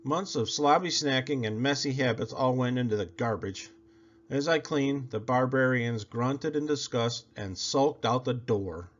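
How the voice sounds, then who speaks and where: A man reading a children's story aloud in a theatrical, expressive way, stretching out words for effect. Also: mid-range voice, a little slow. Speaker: storybook reader. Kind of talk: animated children's read-aloud. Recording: slightly dull, lo-fi audio.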